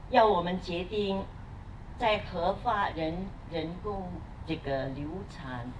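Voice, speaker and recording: Low-voiced middle-aged mother. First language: Mandarin. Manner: halting, with fillers and pauses. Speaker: mother of the groom. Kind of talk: wedding speech. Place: outdoor garden wedding. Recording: distant mic, noisy outdoors.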